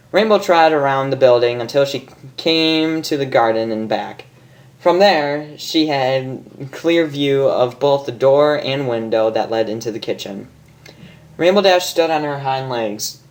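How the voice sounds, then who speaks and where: A woman's voice: extremely low pitch, measured pace. A woman narrating a story informally as a fan fiction reader, stumbling over lines and rereading them with self-mockery. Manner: stumbling, re-reads botched lines, self-mocking.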